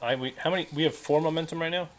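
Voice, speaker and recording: A man's voice: a little high, rapid-fire. A man talking casually as a player at a tabletop role-playing game.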